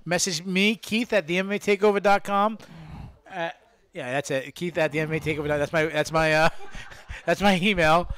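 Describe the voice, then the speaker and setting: A man's voice: fairly high pitch, normal speed, fairly bright tone, clean tone. A man hosting a casual live podcast, joking around and making mock announcements. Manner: joking, hype-announcer delivery. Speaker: podcast host.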